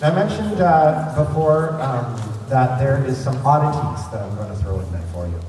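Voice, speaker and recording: A man's voice: medium pitch, lively intonation, moderate pace, darkish tone. Male panel host, rambling fan enthusiasm, caught at a distance in a noisy, very reverberant hall. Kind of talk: informal fan convention presentation. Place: large convention centre room.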